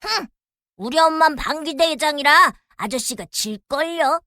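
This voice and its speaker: A small boastful child from a children's folktale. The voice is very high, bright and pert, in a dry recording. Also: female voice, expressive, measured pace, dry recording, exaggerated voice. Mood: cheeky. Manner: pert, taunting. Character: cheeky small child, boastful about mother. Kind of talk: children's folktale character acting.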